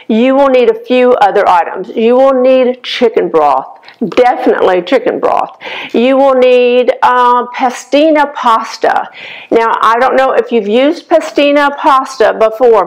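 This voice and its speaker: Chatty older woman, slightly raspy voice. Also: a little high, low-quality recording. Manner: chatty, explains each step to the viewer. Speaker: cooking show host. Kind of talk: casual cooking demonstration. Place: home kitchen, indoors.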